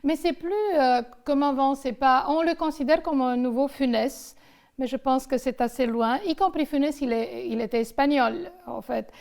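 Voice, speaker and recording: A woman's voice: sits high, steady tone, moderate pace, creaky, darkish tone. A woman interviewing someone casually about film, talking in a rambling, hesitant way full of digressions.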